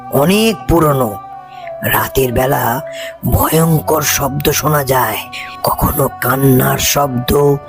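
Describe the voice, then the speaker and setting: A man voicing a loyal, superstitious village servant in a cartoon, deferential, earnest and foreboding. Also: medium pitch. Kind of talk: cartoon voice acting.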